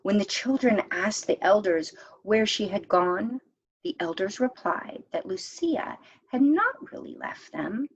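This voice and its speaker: A woman teacher reading a story aloud expressively, with deliberate dramatic pauses and hushed phrases. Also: mid-range voice, lively intonation, slightly rough, dull audio.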